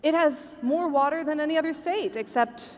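High-voiced American woman in a big hall. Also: moderate pace. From Michigan, United States. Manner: playful, emphatic delivery. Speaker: podcast host presenting trivia. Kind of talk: scripted comic trivia presentation to a live audience. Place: convention stage before a live audience. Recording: reverberant hall stage recording, background noise.

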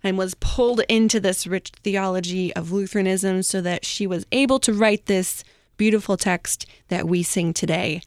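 A woman's voice: mid-range voice, fairly even intonation, moderate pace, dry recording, clean studio sound. A woman hosting a podcast, telling a researched history story in an informal, conversational way.

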